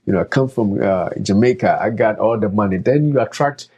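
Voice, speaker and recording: A man's voice: lowish voice, a little fast, a little mellow, slightly rough, muffled recording. A man, a doctor, giving casual practical advice in a conversational way, with frequent fillers and restarts. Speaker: doctor and co-host.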